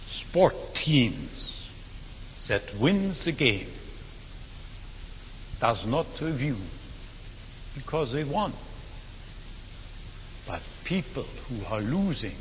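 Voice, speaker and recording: Elderly man in his eighties, German native speaker, very slow deliberate delivery, reverberant hall, faint hiss. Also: mid-range voice. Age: 80s. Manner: slow, earnest, with emphatic swells and quiet drops. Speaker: war veteran speaker. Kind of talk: testimony talk to an audience.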